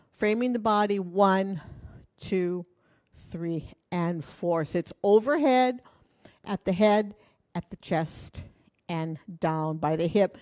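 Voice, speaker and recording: A woman's voice: mid-range voice, a little mellow. Woman teaching a hula class. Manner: counts beats and talks through moves, friendly and encouraging. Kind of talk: live online dance class instruction. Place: home in Hawaii.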